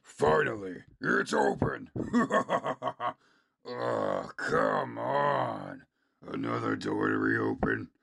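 Audio drama villain henchman,, extremely gravelly, hugely varied pitch, gloating, impatient, cackling, barking. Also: wildly swooping pitch, very slow, fairly bright tone, no echo, clean studio sound, exaggerated voice. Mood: gleeful, exasperated.

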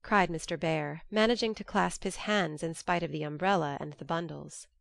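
A woman's voice: sits low, steady tone, moderate pace, gravelly, no echo, studio quality. A woman reading scripted literary narration as an audiobook narrator.

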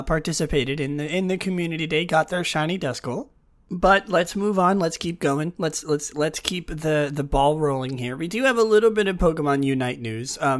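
A young man with a sick, raspy, groggy voice, hosting a casual news podcast and rambling with many fillers and restarts. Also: on the high side. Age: young adult. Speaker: podcast host.

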